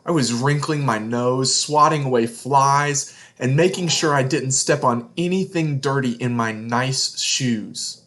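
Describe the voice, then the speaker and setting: Slow-paced man reading a children's storybook. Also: mid-range voice, a bit bright. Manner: deliberate phrasing, emphatic, explains words to young listeners. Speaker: children's read-along host. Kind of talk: expressive storybook read-aloud for children.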